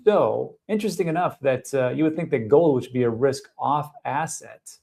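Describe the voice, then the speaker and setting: A man's voice: mid-range voice, moderate pace, creaky, darkish tone. A man hosting a live stream about crypto markets, talking casually and thinking aloud with fillers.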